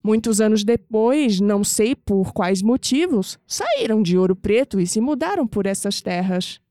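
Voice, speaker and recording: An actress playing a calm, reverent old grandmother in an audio drama, with a Brazilian accent and a measured, solemn way of speaking. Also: mid-range voice, dry recording, studio quality, natural voice. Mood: reflective.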